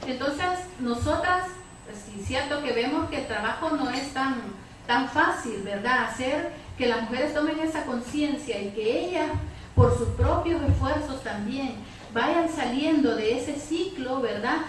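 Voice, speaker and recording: A woman's voice: sits high, animated, unhurried. A woman speaks from far off in a very reverberant space with faint noise. She is a panelist at a public debate, talking conversationally and checking in with tag questions. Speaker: women's organization activist, panelist. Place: public debate hall with audience. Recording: very echoey hall, distant mic, faint noise.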